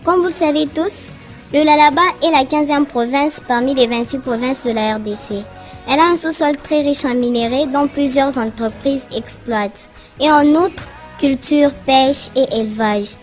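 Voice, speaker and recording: A woman's voice: high voice, normal speed, faint music behind, lightly acted. A woman voicing a cheerful schoolgirl who narrates a cartoon. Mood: friendly, explanatory. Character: Sonia, cheerful schoolgirl narrator introducing her friends.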